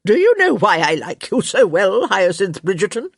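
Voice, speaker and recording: A woman voicing an elderly countess, sharp, theatrical and blunt, in a story reading. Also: mid-range voice, sing-song, normal speed, creaky, husky, no room sound, clean studio sound, pitched above the performer's own voice, full character voice. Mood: gruffly fond.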